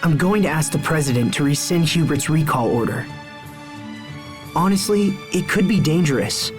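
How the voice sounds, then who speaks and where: An actor playing an earnest, sincere young hero in a video game, plain-spoken and determined. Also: male voice, a little high, lively intonation, normal speed, close to an everyday voice. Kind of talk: dramatic video game voice acting. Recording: music behind the voice.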